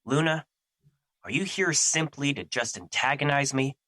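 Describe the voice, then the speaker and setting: A man's voice: medium pitch, lively intonation, moderate pace, pushed and bright, no room sound, studio quality. A man playing a stern, earnest embodiment of an emotion in an audio drama, speaking formally and easily irritated. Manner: formal, serious, stiff phrasing.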